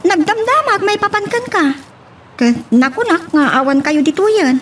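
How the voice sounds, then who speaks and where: A woman's voice: extremely high pitch, big pitch swings, moderate pace, a bit bright, far from the mic, a little hiss or hum, full character voice. A woman playing a friendly, chatty young job applicant in an audio love drama, with a Filipino accent. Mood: gently reassuring.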